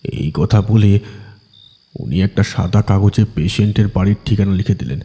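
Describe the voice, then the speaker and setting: Horror audio story: frightened villager played by a man, deep voice, halting suspenseful delivery, long reverb. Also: mellow. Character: frightened village man recounting a night of ghostly terror.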